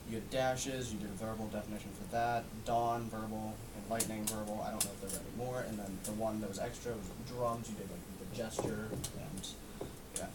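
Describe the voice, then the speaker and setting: A young man giving casual, slightly halting feedback as he goes through a checklist. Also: on the low side, steady tone. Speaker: trainee tutor giving peer feedback. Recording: noisy echoey room, distant mic.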